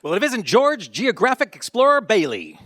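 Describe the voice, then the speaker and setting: A good-natured younger brother in a radio drama. The voice is boyish and hearty, somewhat high and very animated. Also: male voice, normal speed, no room sound, exaggerated voice. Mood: cheerful. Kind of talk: live radio play acting.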